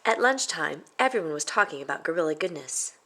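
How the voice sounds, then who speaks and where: A woman reading a children's picture book aloud as expressive story narration. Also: deep voice, fairly quick, slight background noise. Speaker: storybook reader.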